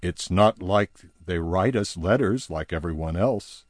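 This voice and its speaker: A man voicing an old, weary Santa who speaks low and subdued. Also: unhurried, dry recording, studio quality. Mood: defeated.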